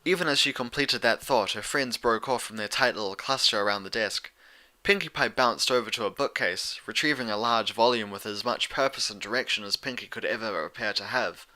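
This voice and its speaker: A man's voice: fairly low pitch, brisk, sharp tone. A man reading scripted story narration as an audiobook narrator of fan fiction.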